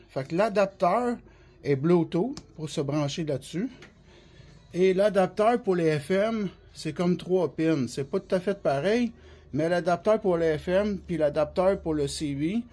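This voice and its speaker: A notably high-pitched man speaks with a Québécois French accent while fighting the flu. He explains products informally, step by step, in colloquial language. He often repeats his points as he demonstrates. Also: dark tone. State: has the flu, coughing now and then. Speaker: CB radio specialist giving a product demonstration. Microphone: phone held by the speaker.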